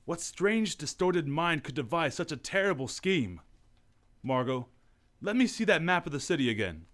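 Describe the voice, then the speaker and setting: An actor playing a calm, thoughtful gentleman detective in a radio drama. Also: on the high side. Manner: measured and thoughtful.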